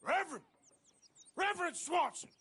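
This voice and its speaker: An actor playing a tough, sardonic outlaw cowboy in a Western video game, blunt and folksy, with an American drawl. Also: male voice, very high-pitched, lively intonation, normal speed, sharp tone, full character voice. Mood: angry shouting.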